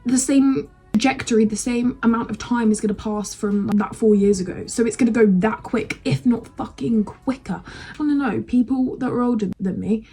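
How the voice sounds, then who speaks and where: Young British woman, 19, mellow breathy voice, frank rambling vlogger who swears freely. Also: on the high side. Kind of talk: casual to-camera chat.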